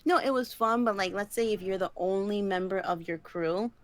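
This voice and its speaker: A woman with a medium-pitched voice chats casually as a podcast co-host. Her talk is conversational and sprinkled with "like", recorded close and dry with little room sound. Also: normal speed, no echo. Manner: conversational, frequent "like". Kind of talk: casual chat.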